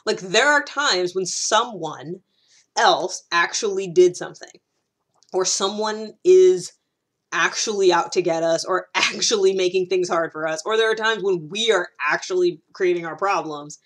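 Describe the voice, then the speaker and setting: A woman's voice: fairly low pitch, unhurried. A woman giving a casual, explanatory monologue as a video host, conversational and often rephrasing or repeating herself.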